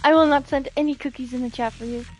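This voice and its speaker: Playful high-voiced woman streamer. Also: steady tone, quick pace, faint music behind, low-quality recording. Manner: playful, exclamatory, reactive. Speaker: game streamer. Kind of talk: live stream reactions, chatting with viewers.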